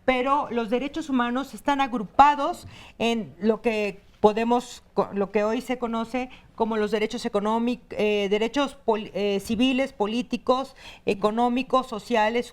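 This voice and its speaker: Hesitant woman, smooth voice. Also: on the high side, unhurried. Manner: hesitant, many "eh" fillers while listing. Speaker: guest, human rights director. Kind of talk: explaining on a talk show.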